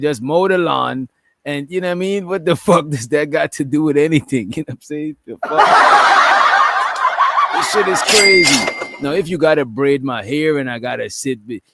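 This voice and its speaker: A man's voice: on the high side, normal speed, gravelly, a bit bright, dry recording, studio quality. A man hosting a casual reaction commentary, swearing freely, laughing often and repeating "you know what I'm saying". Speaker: commentary show host.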